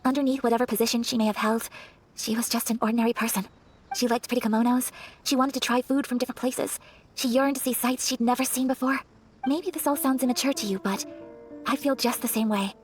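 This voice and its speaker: Casting: actress for refined young game noblewoman, fairly high voice, polite, earnest, slightly hesitant, soft music underneath. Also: fairly even intonation, quick pace, lightly acted. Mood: wistful. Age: young adult. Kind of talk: video game character acting. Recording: faint music behind the voice.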